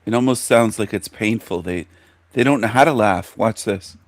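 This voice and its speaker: Low, sneering male commentator, dry close sound. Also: normal speed, dry recording. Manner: sneering, scornful. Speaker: commentary youtuber. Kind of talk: mocking commentary.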